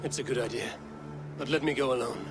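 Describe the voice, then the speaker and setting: An actor playing a calm, dutiful Viking warrior in a video game cutscene, with outdoor noise behind. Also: male voice, mid-range voice, fast talker, music in the background, natural voice. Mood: solemn, steady.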